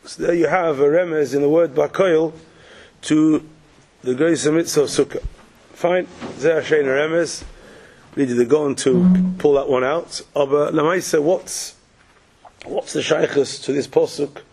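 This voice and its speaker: A man with a cold, croaky, giving a morning Torah lecture as a rabbi, posing questions and answering them step by step. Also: on the high side, fairly even intonation, a little slow, a little mellow. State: has a cold.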